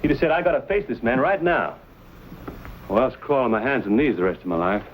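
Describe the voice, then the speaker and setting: A man's voice: medium pitch, very animated, fairly quick, lo-fi sound, noisy background, natural voice. An actor playing a proud, stubborn young frontier man in a Western drama, speaking in earnest, folksy words. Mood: resolute.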